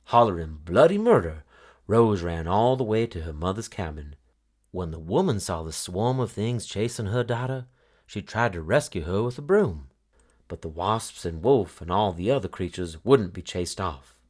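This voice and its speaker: Deep-voiced, animated male storyteller. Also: normal speed. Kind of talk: expressive children's read-aloud narration.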